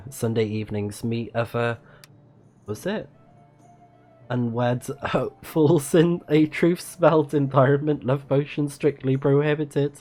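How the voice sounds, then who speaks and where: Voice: medium pitch, a little slow, darkish tone. A person playing a video game on a livestream, giving casual commentary with murmured reactions and reading on-screen text aloud. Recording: game music behind the voice. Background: video game music.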